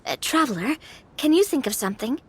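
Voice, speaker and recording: A woman's voice: sits high, sing-song, fairly quick, husky, exaggerated voice. An actress playing an earnest, cheeky young female adventurer in a video game. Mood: earnest, curious. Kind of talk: video game character acting.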